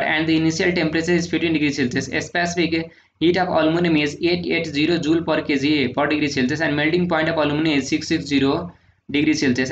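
Guy explaining circuit math step by step, slightly high voice, echoey room, kinda muffled lo-fi mic. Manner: methodical, steady, frequent "uh" and "sir". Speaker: engineering lecturer. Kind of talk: solving numericals on handwritten notes. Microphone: voice-over on screen recording. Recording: echoey room, dull lo-fi sound, faint noise.

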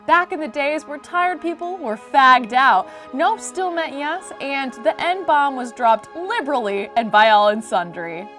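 A woman's voice: on the high side, a bit bright. A woman in her twenties with an American accent, reading a comedic storybook narration in an irreverent, sardonic, sweary way. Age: 20s. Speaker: host reading stories.

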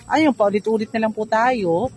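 A woman selling land in a casual, upbeat and persuasive pitch, walking outdoors on a rural dirt road. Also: moderate pace, a little mellow, husky. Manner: chatty, upbeat, polite, persuasive. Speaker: real estate seller.

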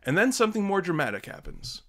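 A man in his thirties hosting a video call from a home study, giving measured, earnest opening remarks. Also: high-pitched, sing-song, fairly bright tone. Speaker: host.

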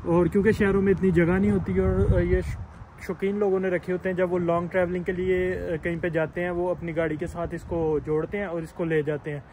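Young guy doing a casual tour vlog, highish voice, explains as he walks, some background noise. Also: little pitch movement, fairly quick, warm tone, low-quality recording. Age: young adult. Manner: explanatory, talking while walking around. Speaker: vlogger giving a tour. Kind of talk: casual walk-through tour narration. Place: outside by a camper, then inside its small interior. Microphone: phone at arm's length. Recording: handheld recording with clear background noise.

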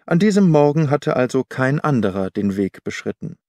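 A man narrates an audiobook in a formal, measured way. His voice sits at a medium pitch. The recording is very dry and close, with hardly any room sound. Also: very animated, darkish tone, no room sound. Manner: measured, formal literary storytelling.

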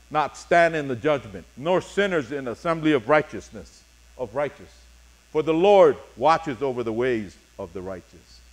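A middle-aged man preaching a sermon as pastor, reading scripture and speaking deliberately in short, paused phrases. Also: mid-range voice, low-quality recording. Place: church sanctuary, speaking from a pulpit on stage.

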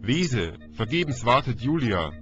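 An exultant male killer, as an audiobook reader acts him out gloats viciously over his victim. It is a dramatic storybook performance with music clearly behind it, slightly dull in sound. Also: mid-range voice, very animated, a little slow, a bit bright, pitched above the performer's own voice. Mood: triumphant shouting. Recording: dull, band-limited recording.

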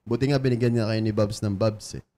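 A man's voice: on the low side, steady tone, a little fast, warm tone, a little hiss or hum. A man co-hosting a casual podcast chat in a barbershop studio, giving coach-like advice and switching between English and Filipino. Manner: coaching, mixes English and Filipino, many yeahs. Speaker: co-host.

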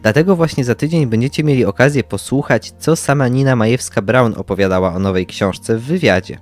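A man's voice: mid-range voice, fairly quick, faint music behind. A young man co-hosting a podcast, giving scripted book recommendations. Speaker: podcast co-host.

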